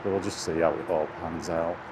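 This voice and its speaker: A man's voice: sits low, fairly even intonation, a little fast. A man giving a casual, rambling vlog chat in a hesitant, laid-back way, recorded on a handheld camera outdoors. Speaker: gaming YouTuber. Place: outdoors, walking near traffic. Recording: noisy outdoor recording with urban traffic. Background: outdoor urban and vehicle noise.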